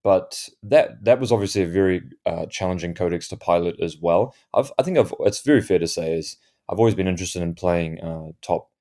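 Male guest, low mellow voice, a bit croaky, thoughtful and halting. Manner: thoughtful, with many fillers and restarts. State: slightly croaky voice. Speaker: guest, tournament winner. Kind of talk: podcast interview.

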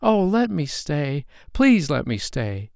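A man's voice: a little high, very animated, slow pace, creaky, darkish tone, no room sound, studio quality, pitched above the performer's own voice, exaggerated voice. A man voicing a small, earnest, pleading boy with a trembling voice in a children's bedtime story.

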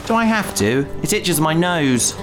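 An actress playing a reluctant, sheepish pacifist space hero in a sci-fi audio drama, with a hesitant, mild-mannered, protesting way of talking. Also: female voice, low-pitched, big pitch swings, a little slow, music in the background.

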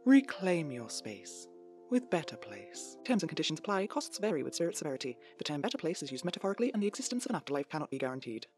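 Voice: medium pitch, very animated, fast, natural voice. An actor playing a slick, over-sympathetic announcer in a spoof commercial for an audio drama. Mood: rapid disclaimer. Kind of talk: parody commercial read. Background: music.

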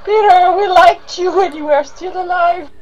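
A woman's voice: extremely high pitch, moderate pace, soft background music, poor audio. A woman from France, chatting politely but gushing in a casual fan commentary.

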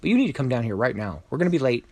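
A man's voice: mid-range voice, big pitch swings, racing, a little mellow, slightly rough, no room sound, pitched above the performer's own voice. A man voicing a bossy, determined female magical horned creature in a children's story, exasperated and commanding. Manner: indignant, commanding.

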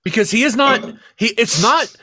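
A man's voice: very high voice, lively intonation, normal speed, pushed and bright, dry recording. A man co-hosting a comedy podcast, reading spam emails theatrically and breaking into sweary, casual asides. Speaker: podcast co-host.

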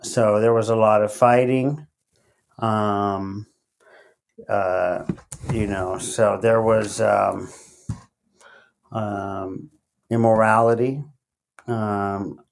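A middle-aged man raised in Latin America, speaking as a podcast guest in a casual, halting way with many fillers and restarts. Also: lowish voice, little pitch movement. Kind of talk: casual interview.

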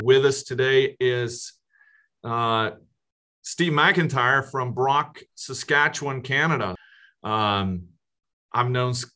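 A man hosting a casual podcast interview, speaking haltingly with frequent restarts and fillers. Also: medium pitch, a bit bright. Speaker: podcast host.

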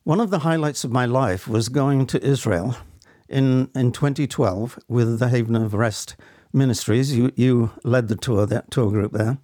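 An elderly man giving personal testimony as an interview guest, speaking in a halting, reflective and earnest way. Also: mid-range voice, mellow, dry recording, clean studio sound. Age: 70s or older. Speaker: interview guest, stage actor.

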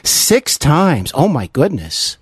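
Effusive male interview host, lively pitch. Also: slow pace, slightly rough, no echo. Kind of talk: enthusiastic interview chat.

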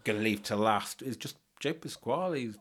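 A man's voice: mid-range voice, expressive, moderate pace, fairly bright tone, slightly rough. A man chatting casually as a podcast co-host, feeling his way through phrases to blunt verdicts. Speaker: theatre review podcast co-host. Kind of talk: casual chat.

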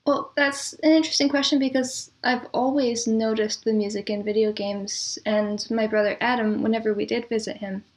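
A woman speaking as an interview guest in casual, reminiscing conversation, with a thoughtful, measured way of talking. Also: a little high, fairly even intonation. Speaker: interview guest, composer and audio drama producer.

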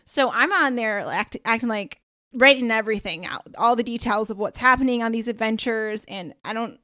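A woman's voice: a little high, moderate pace, bright, forceful tone, no echo, studio quality. A woman hosting her podcast alone, chatting casually with lots of asides and slang. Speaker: podcast host. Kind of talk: casual solo podcast talk.

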